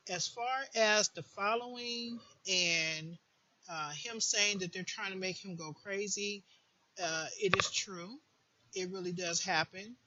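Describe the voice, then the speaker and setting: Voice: medium pitch, slow, sharp tone, highs cut off. A person giving an informal, earnest advice monologue, repeating phrases for emphasis, as a support group host would.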